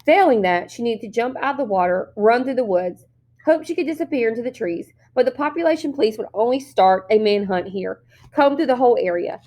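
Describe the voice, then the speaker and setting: A woman reading a novel aloud as an expressive storyteller, narrating the scenes. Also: fairly high pitch, brisk. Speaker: story reader.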